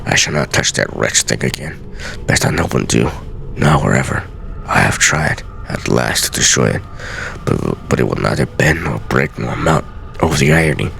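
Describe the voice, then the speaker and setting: A man's voice: fairly bright tone, a little hiss or hum, heavily acted. A man playing an aged master assassin, grave, weary and theatrical, reading out solemn journal entries from a video game. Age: older. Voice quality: very deep, gravelly.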